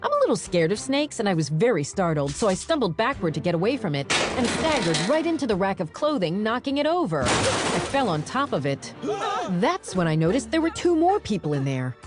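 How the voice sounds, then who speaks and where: A woman narrating a dramatized audiobook in the first person, with wry, expressive storytelling. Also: medium pitch, fairly quick, loud music behind the voice.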